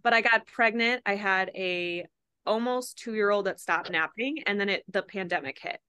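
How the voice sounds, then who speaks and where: A woman in her thirties, a podcast guest, telling her story in a bubbly, animated way with quick laughs. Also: medium pitch, smooth, no room sound. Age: 30s. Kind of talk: casual interview chat.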